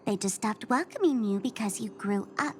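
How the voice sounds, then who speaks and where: A woman's voice: mid-range voice, expressive, normal speed, a little hiss or hum, close to an everyday voice. A woman voicing a mischievous, taunting young female trickster in a dramatic video game performance, with a mocking, theatrical delivery. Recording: loud music behind the voice. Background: loud background music.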